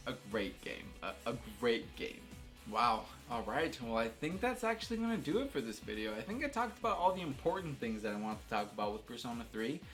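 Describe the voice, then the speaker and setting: A man's voice: mid-range voice, a bit bright. A man giving casual, rambling video game commentary in an enthusiastic, informal, slangy way, often repeating words and circling back. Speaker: video game reviewer and host.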